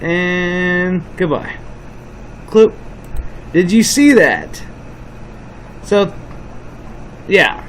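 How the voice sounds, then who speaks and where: Higher-pitched man, noisy recording. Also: sing-song, drawn-out words, pauses often. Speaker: host of a video channel. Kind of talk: casual commentary over footage.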